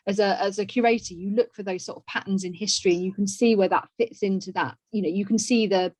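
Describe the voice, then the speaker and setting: A woman's voice: medium pitch, fairly even intonation, quick pace, darkish tone. A woman hosting and chatting in an online discussion, recorded over a video call. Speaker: webinar host. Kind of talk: conversational webinar discussion. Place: indoor room on a video call. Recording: muffled, echoey video call audio.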